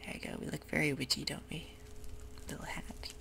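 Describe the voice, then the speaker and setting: A woman whispering soft, hushed ASMR narration over gameplay at home, with frequent ums, as keyboard clicks sound behind her. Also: deep voice, little pitch movement, brisk, creaky. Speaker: ASMR gaming creator.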